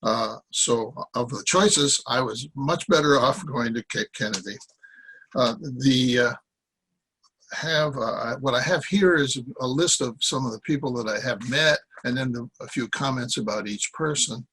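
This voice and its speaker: An American man in his seventies giving an informal talk from notes, rambling and halting as he corrects himself. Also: medium pitch, pushed and bright, slight background noise, low-quality recording. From Iowa, United States. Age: 70s. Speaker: guest speaker, former journalist. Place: small cluttered room with boxes, indoors. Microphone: computer microphone on a video call.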